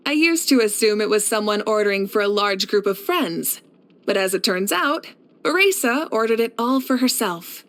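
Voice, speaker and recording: A woman voicing a steadfast, caring warrior leader in a video game, speaking in a measured, reflective and assured way. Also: medium pitch, expressive, fairly bright tone, natural voice. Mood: amused, fond. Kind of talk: scripted video game character voice lines.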